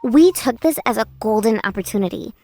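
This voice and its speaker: A woman narrating as an exasperated schoolgirl in a manga dub, lively and a bit sarcastic. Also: a little high, brisk, soft background music. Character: exasperated schoolgirl narrating her class's story.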